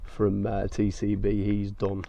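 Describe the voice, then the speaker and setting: A man with a croaky, hoarse voice from being ill, hosting a car detailing vlog and explaining each step in a chatty way with many fillers. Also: fairly low pitch, monotone, unhurried, mellow, slight background noise. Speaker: car detailing channel host. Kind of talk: casual talk to camera with fillers. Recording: dull lo-fi sound.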